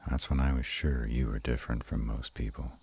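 A man playing an affectionate, bashful, gruff were-dragon lover in an intimate romantic roleplay audio, with a slow, teasing murmur. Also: steady tone, a little mellow, exaggerated voice. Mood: loving. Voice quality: very deep and husky.

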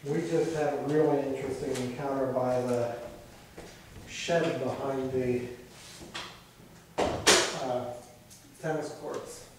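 A man's voice: mid-range voice, fairly even intonation, slow, pauses often. A man, a paranormal investigator, chatting casually from well away from the microphone. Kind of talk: casual chat. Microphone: far from the camera mic. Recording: distant, very echoey, loud noise.

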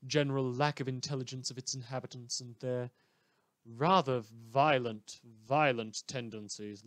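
A man playing an unhinged, sardonic radio host who delivers halting, wordy monologues in a theatrical manner. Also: medium pitch, a bit bright, no echo, lightly acted. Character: rambling, unhinged male radio host in a superhero world. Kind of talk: satirical radio news monologue.

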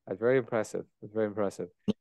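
Chatty American host, Great Plains accent. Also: male voice, fairly low pitch, fairly even intonation, very fast, dark tone, dry recording. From United States. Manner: curious, chatty, many restarts. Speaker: podcast host. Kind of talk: casual interview chat. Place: bright room at home. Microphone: handheld dynamic mic close up.

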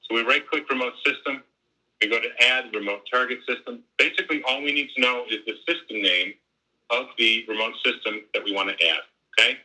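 A man's voice: mid-range voice, fairly quick, bright, forceful tone, low-quality recording. A man in his forties explaining technical features conversationally over a video call. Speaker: technical specialist giving a demonstration. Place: home room, indoors, on a video call. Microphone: wired earbuds on a laptop video call.

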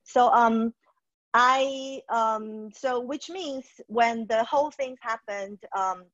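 A woman from Taiwan speaks over a video call as an HR trainer in a webinar, pausing often with fillers and restarting her sentences. The sound is dry and close, with dull, muffled highs. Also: fairly bright tone, dry recording. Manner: hesitant, many fillers and restarts. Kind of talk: webinar presentation.

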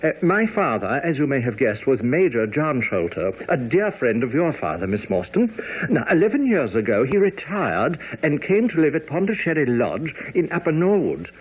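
An actor playing a fretful, nervous little gentleman hypochondriac in a radio drama, stammering and fussing. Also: a little high, sing-song, moderate pace, husky. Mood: grave, reminiscing. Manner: stammering, fussy, nervous chuckling. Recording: muffled old radio broadcast.

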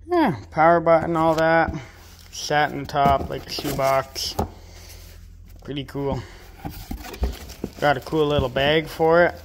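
A man's voice: medium pitch, noisy background. Easygoing young farmer talking as he works. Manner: laid-back, rambling, lots of fillers. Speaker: farm vlogger. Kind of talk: casual narration while working. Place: farm workshop and barn.

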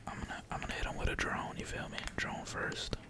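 Whispering man, casual gaming ASMR. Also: fast talker. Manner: slangy, swearing, casual. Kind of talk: whispered ASMR gameplay commentary. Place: indoors at home, at a computer. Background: keyboard typing.

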